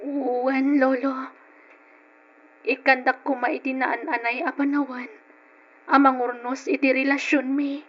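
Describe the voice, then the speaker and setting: A woman's voice: high-pitched, flat delivery, a little slow, long pauses. An actress playing an anxious, pleading young woman in an old-style radio drama, with an Ilocano accent and emotional delivery. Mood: hesitant, evasive. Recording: dull lo-fi old radio sound.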